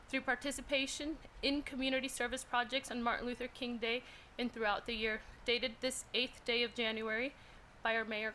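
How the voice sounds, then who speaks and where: A woman's voice: on the high side, sharp tone, slight background noise, low-quality recording. An American woman, a council member, formally reading a proclamation aloud at a council meeting. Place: city council meeting room.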